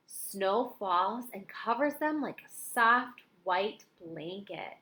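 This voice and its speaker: Female storybook reader, middle-aged, slightly high pitch, expressive delivery for young listeners. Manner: expressive, with dramatic emphasis. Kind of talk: children's picture book read-aloud.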